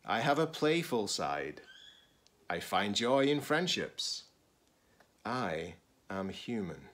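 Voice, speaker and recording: Slow, low-voiced middle-aged man reading aloud. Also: very animated, a bit bright, a little hiss or hum. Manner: deliberate, with short phrases and pauses. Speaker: teacher-librarian. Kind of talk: children's picture book read-aloud.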